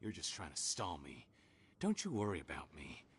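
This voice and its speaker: A man's voice: low voice, huge pitch swings, normal speed, husky, exaggerated voice. A man voicing a scheming, mocking criminal villain in a video game, theatrical and gloating in his delivery. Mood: gloating, sneering. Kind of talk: scripted video game dialogue.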